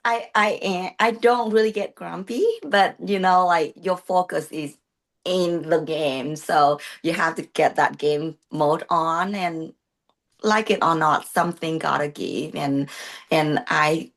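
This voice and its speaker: A woman in her thirties with a Vietnamese accent, a coach and competitor speaking earnestly in a casual interview, often pausing with fillers and restarting sentences. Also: low-pitched, steady tone, creaky, a bit bright. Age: 30s. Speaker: guest, bodybuilding competitor and coach.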